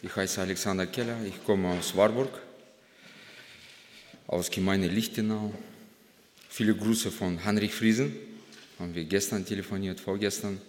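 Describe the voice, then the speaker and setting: Deep-voiced man with Russian accent, reverberant hall. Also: steady tone, moderate pace, long pauses, slight background noise. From Kazakhstan. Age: 40s. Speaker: guest speaker giving testimony at church. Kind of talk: personal testimony to a congregation. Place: large church hall. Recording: long reverb of a large hall.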